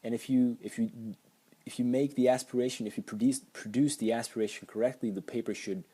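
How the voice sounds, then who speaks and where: Slovak-accented man explaining with mellow voice. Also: mid-range voice, fairly even intonation, fairly quick, husky. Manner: hesitant, with many ums and restarts. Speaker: language teacher. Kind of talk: casual explanatory screen lesson.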